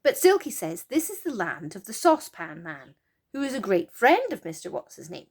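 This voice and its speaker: Woman reading as an eager adventurous boy, very animated. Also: medium pitch, moderate pace, husky. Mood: eager, explaining. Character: eager young boy leading an adventure, excited. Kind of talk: storybook character voice.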